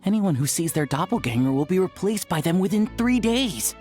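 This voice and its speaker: A woman's voice: on the low side, animated, brisk, mellow, faint music behind, close to an everyday voice. A woman voicing an ominous, gossiping townswoman in a video game. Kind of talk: video game character dialogue.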